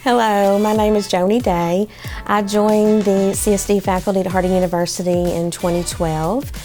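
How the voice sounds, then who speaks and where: A woman's voice: medium pitch, level tone, normal speed, dark tone, faint music behind. A woman introducing herself as a speech pathology professor in an earnest, heartfelt way. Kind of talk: scripted self-introduction.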